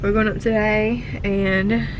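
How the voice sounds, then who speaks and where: A woman's voice: medium pitch, slow pace. A woman, chatting casually to camera as a family vlogger, a little stuffy from allergies. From Georgia, US. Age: late 20s. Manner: chatty, rambling, gushing when excited. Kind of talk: casual vlog chat. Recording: noisy handheld camera audio.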